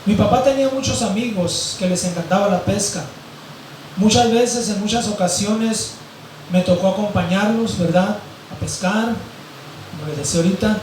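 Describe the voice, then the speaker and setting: A hoarse man from Mazatlán, preaching a sermon and often stopping with "eh" and "¿verdad?". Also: sits high, moderate pace, a little mellow, a little hiss or hum. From Mexico, grew up in Mazatlán. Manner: rambling, fills with "eh" and "¿verdad?". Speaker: pastor. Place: large echoey church hall. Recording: very echoey hall from far off.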